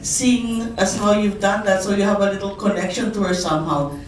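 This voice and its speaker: A woman's voice: mid-range voice, brisk. A woman reporter asking a singer questions politely at a press conference. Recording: very echoey distant room, faint noise.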